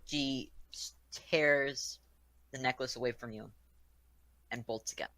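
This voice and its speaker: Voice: mid-range voice, steady tone, slow, sharp tone, poor audio. A game master narrating a tabletop roleplaying game in an informal way, addressing the players as their characters.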